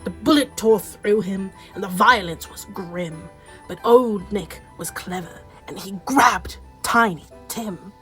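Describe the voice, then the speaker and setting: A woman playing a storybook narrator, reciting rhyming verse in a measured, dramatic way for a radio play. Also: medium pitch, a bit bright, soft background music.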